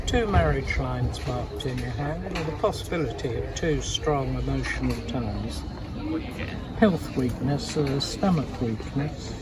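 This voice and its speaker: A man's voice: medium pitch, a little slow. An older man giving a palm reading in clipped, matter-of-fact pronouncements, recorded outdoors. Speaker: palm reader. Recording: very noisy street, traffic and bystanders.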